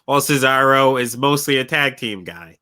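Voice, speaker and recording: A man's voice: mid-range voice, expressive, normal speed, pushed and bright. A man who has lost his voice, arguing his picks in a casual podcast chat. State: hoarse, voice lost. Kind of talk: casual chat among friends.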